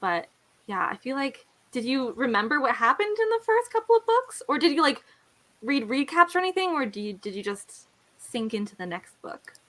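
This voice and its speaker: A woman's voice: sits high, very animated, normal speed, bright, forceful tone. A woman hosting a casual book chat in a chatty, jokey way. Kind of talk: casual chat about books.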